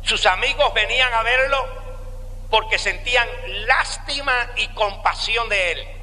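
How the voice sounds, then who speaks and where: A man with a Cuban accent giving a slow, deliberate sermon testimony with dramatic pauses. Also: very high-pitched, sharp tone, long reverb tail, faint music behind. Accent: Cuban Spanish. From Cuba.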